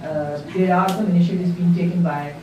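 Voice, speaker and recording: A woman's voice: on the low side, steady tone, brisk. A woman from Pakistan presenting her paper at a workshop, explaining steadily with some fillers. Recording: distant dull mic in an echoey room with background noise.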